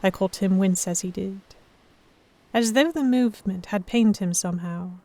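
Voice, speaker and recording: A woman's voice: mid-range voice, moderate pace, warm tone, lightly acted. A woman playing an earnest, emotive noblewoman heroine who narrates an audio drama in the first person. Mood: observant, uneasy. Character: delicate young noblewoman, vexed, earnest, stubborn romantic heroine.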